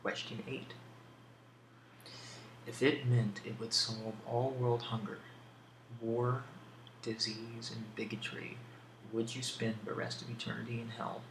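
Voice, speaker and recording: A soft-spoken man answering questions in a casual ASMR style, with a hesitant, musing delivery and pauses between thoughts. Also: mid-range voice, flat delivery, vocal fry. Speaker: ASMR video creator answering a question tag. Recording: roomy echo with faint background hiss.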